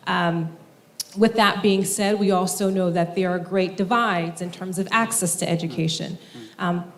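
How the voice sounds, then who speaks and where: Measured young woman moderating a panel. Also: lowish voice. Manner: measured, frequent ums. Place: university conference hall.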